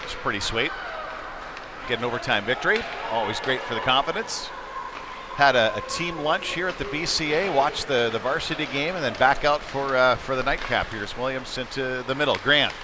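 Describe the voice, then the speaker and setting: A man doing live, conversational play-by-play commentary of youth hockey, excitable on big plays. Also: medium pitch, normal speed. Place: indoor ice rink, live broadcast. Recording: clear background noise of sports arena.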